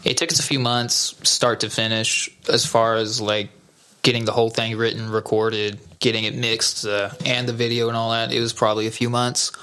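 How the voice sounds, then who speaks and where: A man's voice: mid-range voice, normal speed. A man, a musician guest, talking casually and loosely about making his band's song and video. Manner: relaxed, rambling with fillers. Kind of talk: casual interview chat.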